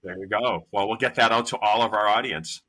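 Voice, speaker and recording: A man's voice: on the low side, brisk, sharp tone, rough recording. A man hosting a podcast interview, asking loose, rambling questions full of fillers. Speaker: podcast host.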